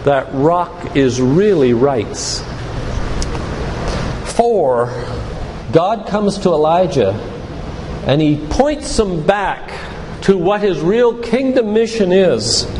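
An older man giving a sermon-like lecture with scripture readings, speaking in a deliberate, emphatic way with pauses. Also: high-pitched, lively intonation. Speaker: physician giving a church lecture. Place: large church room, live talk. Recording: echoey hall with background noise.

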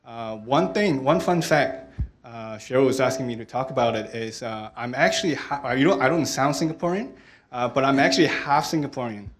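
A man's voice: medium pitch, fairly quick. Friendly man on a panel. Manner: friendly, many "uh" fillers. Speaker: engineering lead, panelist. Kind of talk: panel talk. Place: stage of a large hall with audience. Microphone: handheld mic.

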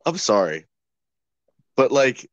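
A man's voice: mid-range voice, big pitch swings, slow, no echo, dull audio. A young man co-hosting a podcast in casual chat, teasing his partner playfully. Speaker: podcast co-host.

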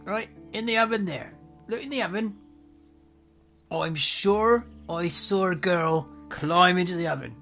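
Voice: medium pitch, pushed and bright, faint music behind. A storyteller voicing a sly male baker who coaxes a witch into the oven. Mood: coaxing. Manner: quick, coaxing, persuasive.

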